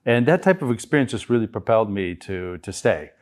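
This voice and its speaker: A middle-aged American man from Chicago, a guest telling his story in a relaxed interview, with many restarts. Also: lowish voice, a little fast. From United States, Chicago. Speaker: guest entrepreneur. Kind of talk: conversational interview.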